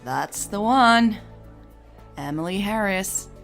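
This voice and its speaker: A woman's voice: medium pitch, expressive, slow pace, vocal fry, fairly bright tone, soft background music, voice pushed up, exaggerated voice. A woman voicing an eager, persuasive male tech publicist in an audio story, with enthusiastic, emphatic delivery. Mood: teasing, proud.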